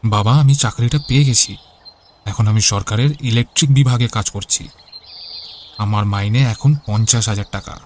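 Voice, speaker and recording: A man's voice: fairly low pitch, moderate pace, darkish tone, a little hiss or hum, close to an everyday voice. A man voicing a dutiful young grown son from a village in a cartoon, earnest and respectful. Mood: happy, proud. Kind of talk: Bengali cartoon voice acting.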